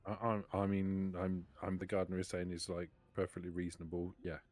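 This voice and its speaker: A man plays a tabletop roleplaying game. His voice is very low-pitched. He talks casually in character with the others at the table. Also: completely flat delivery, fairly quick, a little mellow.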